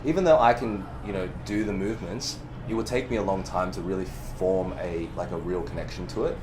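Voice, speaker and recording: A man's voice: low-pitched, brisk, noisy background. A man, a movement coach whose first language is Cantonese, talking animatedly in a casual podcast interview, with frequent restarts and the odd swear word.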